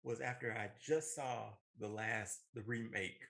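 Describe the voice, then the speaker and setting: American man, 30s, movie show co-host. Bright, punchy voice, emphatic opinions, casual and enthusiastic delivery. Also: fairly low pitch, fairly even intonation, unhurried, vocal fry.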